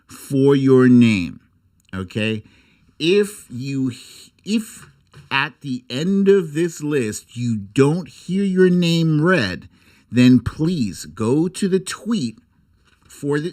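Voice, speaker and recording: American guy hosting a livestream, slow and easygoing pace but pitch jumps around a lot, jokey casual talk. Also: darkish tone. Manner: informal, jokey, mildly profane. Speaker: host of an online livestream show. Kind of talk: casual livestream chat and commentary.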